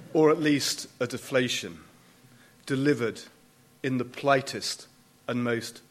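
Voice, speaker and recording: A man giving a prepared memorial speech, formal and deliberate, with emphatic pauses and dry wit. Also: mid-range voice. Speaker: closing speaker at a memorial event.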